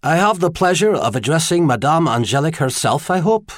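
A male reader plays a courtly gentleman in an audiobook, a charming and slightly impish tenor. His polite speech lilts with lively pitch, raised above ordinary narration, in a dry, close sound. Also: a little fast, dry recording. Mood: gallant, amused. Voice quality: pleasant tenor. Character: charming, gallant, well-dressed gentleman visitor, faintly impish. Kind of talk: audiobook character dialogue.

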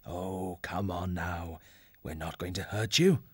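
Fatherly storybook beast: very husky, gravelly, calm and reassuring. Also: mid-range voice, normal speed, no echo, heavily acted. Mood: kindly, reassuring. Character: kindly, steady fatherly male animal bellmaker. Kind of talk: dramatised animal fantasy audiobook.

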